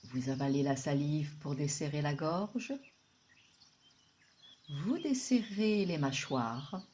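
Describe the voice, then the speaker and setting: Slow, low-voiced woman guiding relaxation. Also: slight background noise. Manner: calm, soothing, unhurried instruction with long pauses. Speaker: yoga nidra guide. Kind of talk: guided relaxation meditation.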